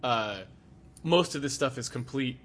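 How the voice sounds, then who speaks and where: A man's voice: mid-range voice, measured pace, fairly bright tone, slight background noise. A man co-hosting a casual podcast, stuffy from a lingering cold, talking animatedly and swearing freely. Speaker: podcast co-host.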